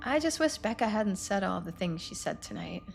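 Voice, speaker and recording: A woman's voice: mid-range voice, big pitch swings, normal speed, vocal fry, faint music behind, voice pushed down. A woman voicing an upbeat, teasing young female YouTuber from a video game, with a playful, chatty, eager manner. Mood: modest, chatty.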